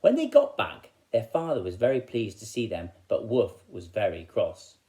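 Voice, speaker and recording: Male primary teacher, English accent, animated storyteller for young listeners. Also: fairly low pitch, normal speed. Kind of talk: expressive storytelling to children.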